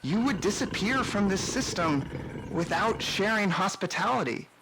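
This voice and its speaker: An older hermit who tends a space station in a sci-fi audio drama. He instructs with patient, philosophical wisdom and a very varied melody. Noisy ambience sits clearly behind the voice. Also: high voice, animated, moderate pace. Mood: firm, patient.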